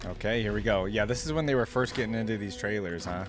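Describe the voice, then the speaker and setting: Enthusiastic man reacting over loud background music. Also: fairly low pitch, quick pace. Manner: enthusiastic, slangy. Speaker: reaction video host. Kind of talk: casual reaction commentary. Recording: music playing behind the voice. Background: game theme music.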